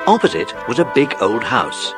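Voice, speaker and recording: A man's voice: medium pitch, big pitch swings, moderate pace, over music. A man narrating a children's story from a script, as in an audiobook drama.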